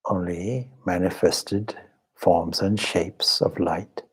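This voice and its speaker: Slow, low-voiced man guiding a meditation. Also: fairly even intonation, breathy edge. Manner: measured, deliberate, short phrases with pauses. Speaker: meditation teacher, swami. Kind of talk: guided meditation, slow spoken instruction.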